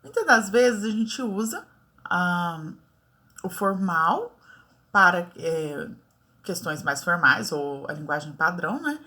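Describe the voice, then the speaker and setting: A Brazilian woman teacher giving a relaxed lesson, talking in a chatty way and often checking in with her students. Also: lowish voice, takes it slowly. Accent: Brazilian Portuguese. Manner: chatty, many fillers like "né" and "tá". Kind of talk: casual online lesson.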